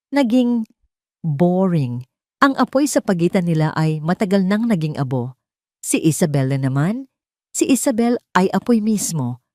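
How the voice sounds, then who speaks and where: A woman's voice: low voice, darkish tone, no echo, studio quality. A woman reading scripted, dramatic narration for an audio story.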